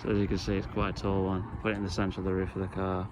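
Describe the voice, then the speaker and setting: A man's voice: low-pitched, monotone, racing, background noise. A man explaining things casually and tentatively in an unscripted, rambling vlog. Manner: tentative, self-deprecating, rambling. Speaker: vlogger, hobbyist trying out radio gear.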